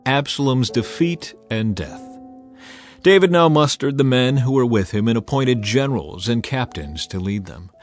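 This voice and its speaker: A man reading scripture as a dramatized audiobook narration, measured and emphatic in his storytelling. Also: medium pitch, lively intonation. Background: music under the voice.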